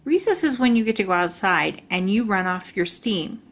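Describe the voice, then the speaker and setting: A woman's voice: mid-range voice, animated, normal speed. A woman reading a children's storybook aloud as a storyteller.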